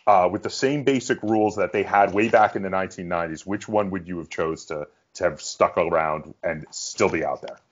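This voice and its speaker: A man's voice: deep voice, fairly quick, hardly any pauses. A middle-aged man hosting a casual interview, talking as a fan of the subject. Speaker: interviewer, podcast host. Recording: dull lo-fi sound.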